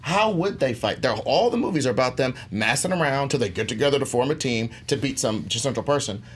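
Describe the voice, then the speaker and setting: A man's voice: mid-range voice, lively intonation, brisk, slightly rough. A man making an informal, hyped-up argument as a movie debate contestant, in colloquial language with rhetorical questions.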